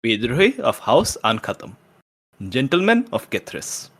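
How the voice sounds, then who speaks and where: A man playing a brash duelist in a tabletop game, emphatic and persuasive, mixing formal flattery with scorn. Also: medium pitch, a little slow, full character voice. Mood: proud.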